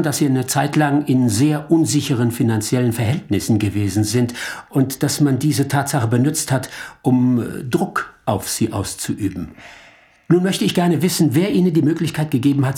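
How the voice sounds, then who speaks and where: A man's voice: medium pitch, expressive, moderate pace, darkish tone, close to an everyday voice. An actor playing a worldly, commanding lawyer in a German radio drama, courteous but insistent in his questioning. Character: worldly, commanding male lawyer chairing the meeting.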